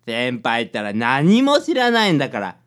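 A woman's voice: a bass voice, huge pitch swings, normal speed, heavily acted. A woman playing a boastful, childish junior actor and sidekick in a radio drama. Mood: smug, cheerful.

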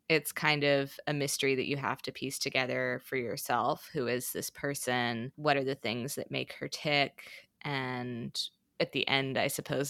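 A woman co-hosting a book podcast, talking in a measured, hedging way with frequent fillers. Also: low-pitched, sharp tone, clean tone, no room sound, studio quality. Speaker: podcast co-host. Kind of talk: casual book discussion.

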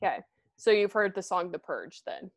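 A woman's voice: on the low side, animated, moderate pace, no room sound. Woman, podcast co-host, casual rambling chat, close dry mic. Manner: chatty, rambling with fillers and laughs.

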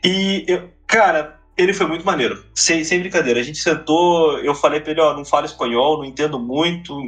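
A man, chatting casually on a podcast, telling a relaxed story full of slang and restarts. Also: on the high side, brisk, faint music behind. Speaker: podcast co-host, competitive card player.

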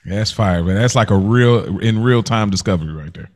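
A man's voice: lowish voice, normal speed, darkish tone. A man with an American accent chatting casually and agreeably as a podcast co-host, in colloquial speech. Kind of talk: casual TV review podcast chat. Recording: long reverberant echo.

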